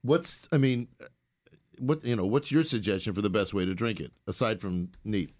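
A man's voice: on the low side, normal speed, darkish tone. A male talk radio host with a mid-range voice. He chats casually with guests, teasing and joking as he moves the interview along.

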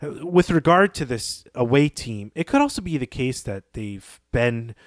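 A man co-hosting a literary discussion podcast, speaking in a measured, reflective way with pauses mid-thought. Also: medium pitch, sing-song, dry recording, studio quality. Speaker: podcast co-host.

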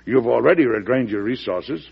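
An actor playing a forceful, shrewd American president in an old radio drama, frank and emphatic, with an American accent. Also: male voice, medium pitch, animated, normal speed, vocal fry, breathy edge, exaggerated voice. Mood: persuasive. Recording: muffled vintage radio broadcast recording.

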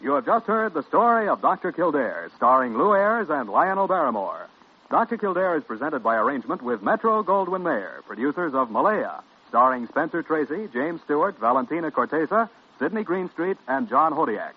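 A man's voice: on the high side, sing-song, normal speed, husky. A man with an American accent announcing in scripted 1950s radio style. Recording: dull old radio recording. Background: loud music.

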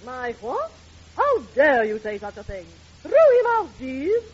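A woman's voice: high voice, huge pitch swings, unhurried, heavily acted. An actress playing an outraged, imperious rich lady in a 1940s radio comedy. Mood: haughty outrage. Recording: dull, noisy old radio recording.

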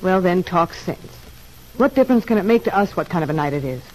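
A woman's voice: fairly low pitch, expressive, quick pace, darkish tone, low-quality recording. A young woman convict in a vintage radio serial. She is curt and defensive, a restless schemer who snaps easily. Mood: irritated. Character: irritable, scheming young woman prisoner, desperate for parole. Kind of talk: old-time radio drama acting.

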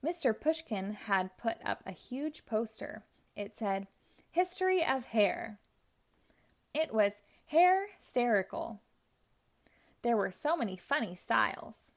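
A woman reading a children's picture book aloud, narrating in a gentle, expressive storytelling manner. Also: on the high side, measured pace. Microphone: audio only, close mic.

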